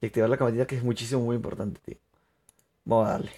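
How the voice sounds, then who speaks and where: Young man, streamer, excitable, chatty, live game commentary. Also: mid-range voice, rapid-fire. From Peru. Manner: chatty, excitable, laughs often, addresses viewers.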